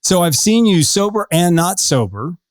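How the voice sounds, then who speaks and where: A man's voice: high-pitched, very animated, normal speed, no echo. A male podcast host asking long, rambling questions with frequent restarts. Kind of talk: conversational interview.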